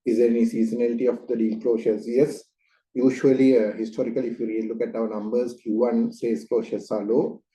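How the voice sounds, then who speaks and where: A man's voice: mid-range voice, level tone, quick pace, mellow, rough recording. A man answering investor questions as a company executive on a video call, explaining things in a conversational way. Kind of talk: spontaneous business Q&A answer.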